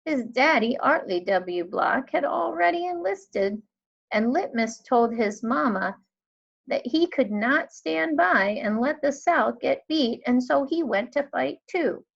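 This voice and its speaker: Elderly female librarian, played by a woman reader, fond dramatic storybook teller, unhurried and emphatic, dull recording. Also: high-pitched, expressive, vocal fry, breathy edge, pitched above the performer's own voice, heavily acted. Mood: storytelling. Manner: slow, drawn-out, emphatic phrasing. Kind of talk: storybook read-aloud.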